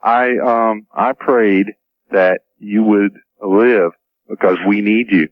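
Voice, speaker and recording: Low-voiced male caller, muffled radio. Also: steady tone, takes it slowly. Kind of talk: casual chat. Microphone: phone call to radio show. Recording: dull lo-fi caller audio on radio.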